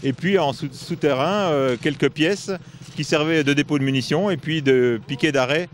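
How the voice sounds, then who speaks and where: A man's voice: fairly high pitch, moderate pace, a little hiss or hum. A man from a heritage association, outdoors in a forest, explaining the site steadily with many filler hesitations. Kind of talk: explanatory interview answers.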